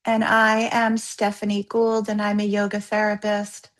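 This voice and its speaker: Slow-paced woman guiding meditation. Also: medium pitch, monotone. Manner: soothing, unhurried, gently instructing with pauses. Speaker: yoga therapist leading guided practice. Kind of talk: guided yoga nidra meditation.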